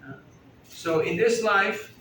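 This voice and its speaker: Man, sermon, fairly high voice, conversational, asks the crowd questions, distant mic, faint background noise. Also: slow pace, sharp tone, echoey room. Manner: chatty, asks the audience questions and jokes. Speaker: spiritual teacher giving a talk. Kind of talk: conversational sermon to a live audience. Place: indoor hall at a festival gathering.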